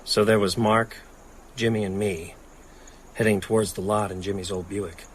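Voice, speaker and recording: An actor playing a grieving, haunted man in a horror audio drama, intense and halting under strain. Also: lowish voice, fairly even intonation, a little hiss or hum, close to an everyday voice, low-quality recording. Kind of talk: audio drama acting with first-person narration.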